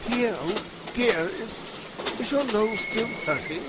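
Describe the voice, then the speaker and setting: A man's voice: sits high, lively intonation, slow pace, heavy background noise. A man voicing an eccentric, showy detective in a comedic audio drama, speaking in a plummy, theatrical manner. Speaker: voice actor in a fan audio drama.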